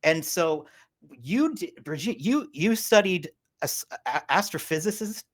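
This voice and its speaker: A woman's voice: deep voice, lively intonation, measured pace. A woman in her forties hosting a casual interview, rambling and chatty with lots of fillers. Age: 40s. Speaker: host.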